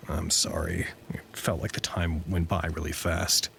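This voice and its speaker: A man playing a precise, wry office administrator in an audio drama, speaking in a measured, understated, naturalistic way. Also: deep voice, fairly even intonation, vocal fry, fairly bright tone, a little hiss or hum, full character voice. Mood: gentle, wry.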